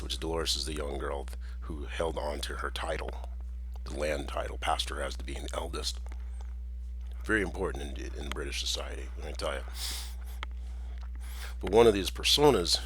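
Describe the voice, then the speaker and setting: A man's voice: very deep voice, fairly bright tone, slight background noise, rough recording. A man with an American accent carrying a slight Jamaican tinge, talking in a rambling personal monologue full of restarts and chuckles. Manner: meandering, with many restarts and chuckles.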